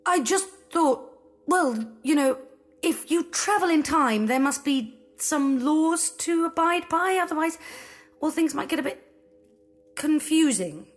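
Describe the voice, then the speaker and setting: An actress playing a flustered young Edwardian adventuress with a British accent, hesitant and backtracking in an audio drama clip. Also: female voice, sits high, lively intonation, unhurried. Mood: hesitant, curious. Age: young adult. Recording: drama clip with music underneath.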